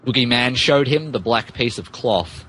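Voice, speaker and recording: A husky-voiced man narrating fan fiction as a podcast co-host, dropping in wry comic asides. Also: mid-range voice, lively intonation, normal speed, sharp tone, rough recording.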